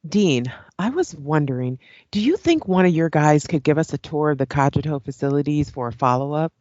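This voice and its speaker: A woman's voice: sits low, expressive, a little fast, a little mellow, dry recording, highs cut off. A woman playing a polite, professional current-affairs host in an audio play. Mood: casual, friendly. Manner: courteous, professional.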